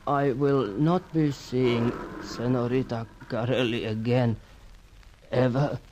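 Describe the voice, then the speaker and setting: A man's voice: mid-range voice, steady tone, slow pace, natural voice. An actor playing a courteous, weary, dying South American man in a radio serial, his breath failing. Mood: fading, resigned. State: breathy, fading. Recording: noisy radio drama recording.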